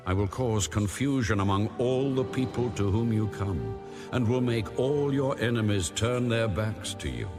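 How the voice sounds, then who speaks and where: A very low-voiced man reads scripture with grave authority over clear background music. His delivery is slow and solemn, with a widely moving intonation that gives each line weight. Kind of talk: solemn scripture narration, audio Bible reading.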